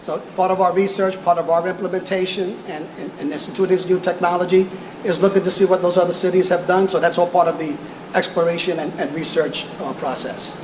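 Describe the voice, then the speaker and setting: A man's voice: high voice, steady tone, fast, darkish tone. A man answering press questions as a police technology official, hesitant and repeating words as he searches for phrasing. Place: indoor press conference room. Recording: echoey room with clear background noise.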